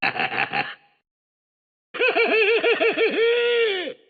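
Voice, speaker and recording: A manic clown villain, video game, high gravelly voice, wildly swooping pitch, mocking and theatrical. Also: male voice, huge pitch swings, drawn-out words, narrow, tinny audio, full character voice. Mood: gloating. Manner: theatrical, mocking, erratic swings from whisper to shout. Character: cackling, unhinged male clown villain taunting his nemesis.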